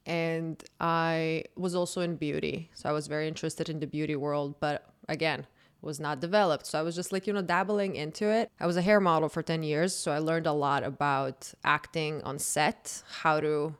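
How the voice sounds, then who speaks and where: Low, smooth-voiced woman. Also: normal speed. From Croatia. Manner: animated, laughs often, long storytelling turns. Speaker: podcast host and dating content creator. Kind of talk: casual podcast conversation.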